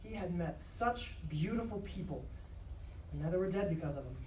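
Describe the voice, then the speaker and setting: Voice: medium pitch, expressive, normal speed, darkish tone. A narrator reading scripted dramatic narration live on stage. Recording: echoey live stage, distant, clear noise.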